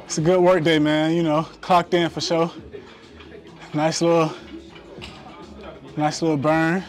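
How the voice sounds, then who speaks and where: A man's voice: a little high, flat delivery, unhurried, soft background music. A young man in a large indoor gym, chatting casually and easygoing about his workout on a clip-on mic. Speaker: football player vlogging his workout.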